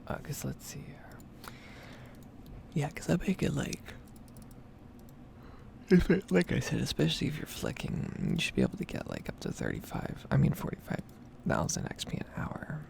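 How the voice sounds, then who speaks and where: A woman speaking in a breathy whisper, rambling casually with some swearing in an ASMR gaming video. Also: rumbling low, huge pitch swings, moderate pace, long pauses, darkish tone, a little hiss or hum.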